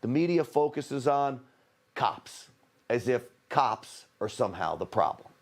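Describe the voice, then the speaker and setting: A man's voice: mid-range voice, animated, measured pace. A man giving emphatic, rhetorical political commentary.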